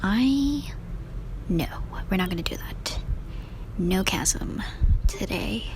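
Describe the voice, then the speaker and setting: A woman's voice: mid-range voice, expressive, takes it slowly, slight background noise. A young woman streamer giving casual, slangy reaction commentary over gameplay. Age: young adult. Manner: slangy, giggly. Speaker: game streamer. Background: game music.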